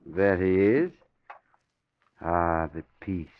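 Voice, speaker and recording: Creepy polite funeral home caretaker, real slow and solemn, old muffled radio show. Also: male voice, low voice, a little hiss or hum. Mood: eerily calm. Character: eerie, courteous male funeral parlor caretaker fond of the dead. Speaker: radio drama actor. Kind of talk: old-time radio drama acting.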